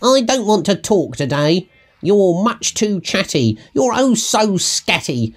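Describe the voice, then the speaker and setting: Man as a slow, swooping grumpy female bird. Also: high voice, very animated, voice pushed up, heavily acted. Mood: grumpy, dismissive. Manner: emphatic, sing-song rhyming. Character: grumpy, bossy grey female go-away bird.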